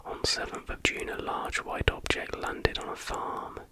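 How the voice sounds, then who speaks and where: An ASMR host speaking in a breathy, unvoiced whisper, reading aloud in an unhurried, informal way.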